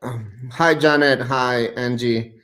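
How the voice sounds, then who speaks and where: A man from Ecuador, a guest giving a halting presentation over a video call. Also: medium pitch, fairly even intonation, a bit bright, rough recording. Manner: halting, searching for words. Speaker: guest, tourism representative.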